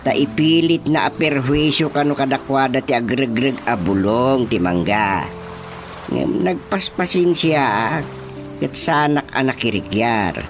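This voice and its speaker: A man's voice: medium pitch, unhurried, faint music behind, close to an everyday voice. A man acting a stubborn villager in an Ilocano radio comedy, with an Ilocano accent and emphatic interjections. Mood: aggrieved. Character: stubborn male villager defending his mango tree.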